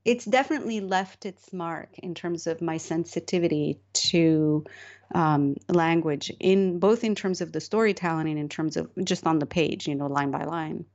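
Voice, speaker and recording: A woman's voice: low voice, normal speed, darkish tone, muffled recording. A middle-aged woman from Morocco, a novelist being interviewed, speaking thoughtfully with many fillers and restarts. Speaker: novelist guest. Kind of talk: interview conversation.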